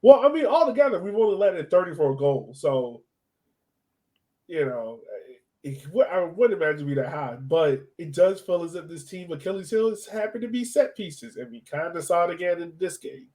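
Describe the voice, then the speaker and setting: A man's voice: a little high, very animated, normal speed, warm tone, rough recording. A man hosting a sports podcast in casual chat, with a colloquial, buddy-to-buddy way of talking.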